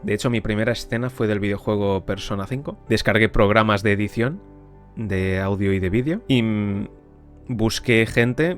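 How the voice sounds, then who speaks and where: Low-voiced man chatting casually. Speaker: amateur voice actor. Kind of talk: casual interview testimonial.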